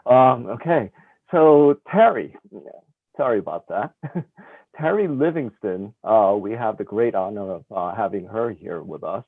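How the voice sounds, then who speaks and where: A man at the front of a town panel introducing the next guest in a low, dry-sounding voice, halting, slipping in a joke. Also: lively intonation, husky, no room sound, phone-quality sound. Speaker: event moderator introducing speakers. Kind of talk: hosting a community discussion, introductions.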